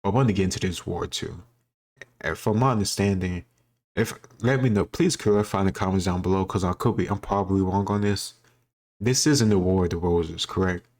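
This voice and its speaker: Very low-pitched man, casual commentary. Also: brisk, a little mellow. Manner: conversational, thinking aloud, self-doubting. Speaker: host reacting to a history quiz. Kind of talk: casual commentary, answering quiz questions.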